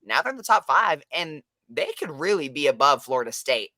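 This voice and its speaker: A young man co-hosting a casual sports podcast, hoarse from losing his voice, talking in a hyped, energetic way. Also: a little high, brisk, no room sound. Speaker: podcast co-host.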